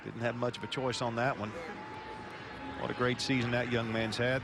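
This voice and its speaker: A man works as a football color analyst, speaking at a middling pitch. His delivery is conversational and folksy as he breaks down the play. Heavy stadium noise runs loudly behind his voice. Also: little pitch movement, moderate pace. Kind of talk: live sports analysis commentary. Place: football stadium broadcast position. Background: loud stadium ambience.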